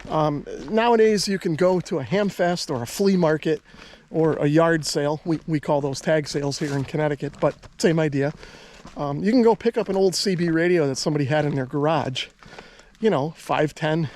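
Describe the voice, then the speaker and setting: A higher-voiced American man in his forties talks off the cuff in a relaxed, halting vlog style. He is slightly winded. A faint layer of noise sits behind his voice. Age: 40s. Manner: laid-back, hesitant, chuckles often. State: slightly out of breath. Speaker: hobbyist video creator. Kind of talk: casual unscripted chat to camera. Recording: steady background noise.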